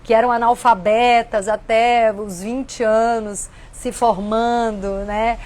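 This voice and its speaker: A woman, hosting a casual reflective talk and answering viewer questions in a warm, earnest way. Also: fairly high pitch. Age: 50s. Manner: warm, earnest, conversational with frequent "né".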